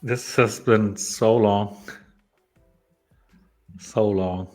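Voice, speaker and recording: A man's voice: fairly low pitch, steady tone, very slow, a little mellow, faint music behind. Rambling Polish-born man on a live stream. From Poland. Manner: rambling, many fillers and restarts. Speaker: game developer streamer. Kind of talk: casual live stream chat.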